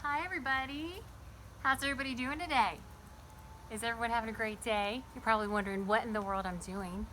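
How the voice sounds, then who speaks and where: Female kids' read-aloud host, higher register, animated and question-filled delivery, recorded outside with noticeable ambient noise. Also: fast talker. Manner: animated, addresses young listeners with questions. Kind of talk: storybook read-aloud with chatty asides to children. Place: garden, sitting outdoors by a small table fort.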